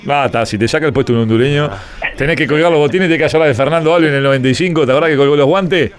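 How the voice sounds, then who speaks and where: A man's voice: a little high, very fast. A man with a Uruguayan accent hosting a casual sports chat, teasing and colloquial, repeating words for emphasis. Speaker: radio show host.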